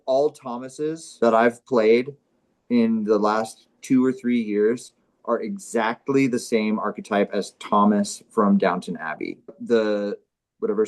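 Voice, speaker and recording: Deadpan man bantering casually. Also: fairly low pitch, low-quality recording. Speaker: comedy show performer. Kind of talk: casual banter and script read-through.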